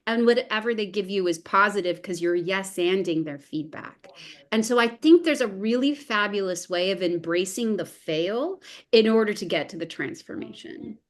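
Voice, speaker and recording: A woman's voice: mid-range voice, normal speed. Earnest, encouraging woman guest. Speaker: podcast guest, improv performer. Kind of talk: casual discussion.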